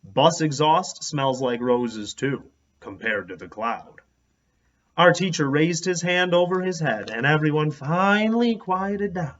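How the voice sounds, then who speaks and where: A man reading a children's book aloud as an expressive storyteller. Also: on the high side, a little slow, dull audio.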